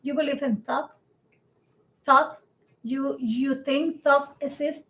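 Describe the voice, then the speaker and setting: A woman's voice: high voice, steady tone, fairly bright tone. A woman co-hosting a casual chat in English, which is not her first language, arguing earnestly and emphatically with frequent restarts. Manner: earnest, emphatic, halting non-native English with many restarts. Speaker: podcast co-host.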